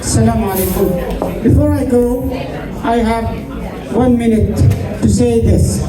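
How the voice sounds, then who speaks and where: A man with a high voice, an imam addressing election candidates at a community event, speaking haltingly and repeating phrases as he finds his words. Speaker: imam of a prayer center. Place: large indoor hall at a public event. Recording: echoey hall, distant mic, crowd murmur.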